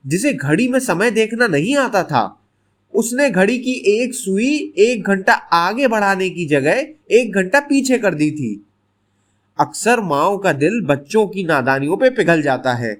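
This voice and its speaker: A man narrating a scripted bedtime story in an expressive, dramatic storytelling manner. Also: high voice.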